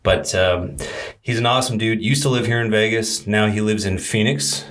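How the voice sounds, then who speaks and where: A man's voice: on the low side, fairly even intonation, normal speed, a bit bright. A young man hosting a podcast in casual chat, joking and laughing with his guest. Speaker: podcast host.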